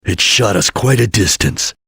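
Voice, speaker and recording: Cool-headed chameleon ninja for a game: composed, clipped, heavily rasped voice, close dry recording. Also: male voice, on the low side, lively intonation, measured pace, bright, forceful tone, no echo, full character voice. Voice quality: raspy, gravelly. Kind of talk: video game character acting.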